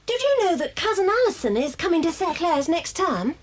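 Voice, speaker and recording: A woman playing a bright, bossy, chatty British schoolgirl in a children's audio drama, brisk and gushing. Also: very high-pitched, sing-song, a little hiss or hum. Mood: chatty, earnest.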